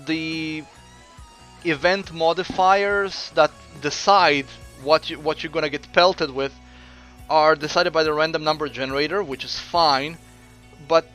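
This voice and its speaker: Bright-voiced Croatian-accented man streaming. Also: fairly high pitch. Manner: chatty, answers viewer chat with frequent "uh" pauses. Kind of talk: casual gameplay commentary.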